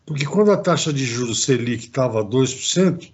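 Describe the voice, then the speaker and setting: A man's voice: mid-range voice, expressive, a little fast, darkish tone, lo-fi sound. A man hosting an interview show, chatting in a rambling way with many restarts. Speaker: host. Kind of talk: casual interview talk.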